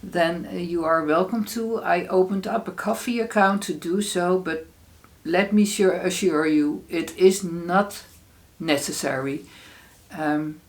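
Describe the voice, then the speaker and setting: A woman's voice: lowish voice, a little slow. A woman from the Netherlands with a Dutch accent, speaking English as a second language as she hosts a casual solo craft podcast, with many fillers and restarts. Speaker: podcast host.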